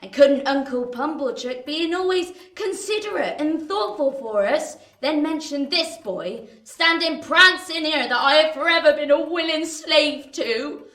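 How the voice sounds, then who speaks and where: Shrill scolding housewife, played by a woman. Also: lively intonation, unhurried, hardly any pauses, reverberant, full character voice. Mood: exasperated scolding. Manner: sharp, sarcastic, nagging. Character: shrewish, scolding, domineering working-class wife. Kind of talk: radio play acting.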